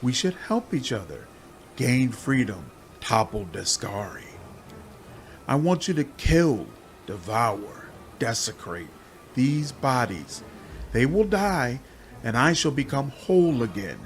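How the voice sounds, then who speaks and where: A man voicing a captive, hungry female demon swarm of many bodies in game dialogue, intoning words one by one in a halting manner. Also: mid-range voice, sing-song. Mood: coaxing, solemn.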